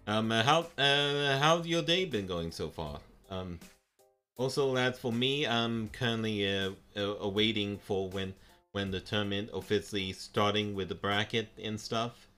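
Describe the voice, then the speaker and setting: Halting low-voiced man streaming a game. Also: sharp tone, faint music behind. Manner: halting, many fillers and repeated words. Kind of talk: live game stream chat. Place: home room, indoors.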